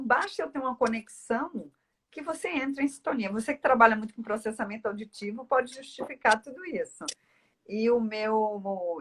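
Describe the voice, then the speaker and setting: A woman from Northeast Brazil with a Brazilian accent, talking at length in a casual, explanatory conversation as a speech therapist. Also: a little high. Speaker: speech therapist, interview guest.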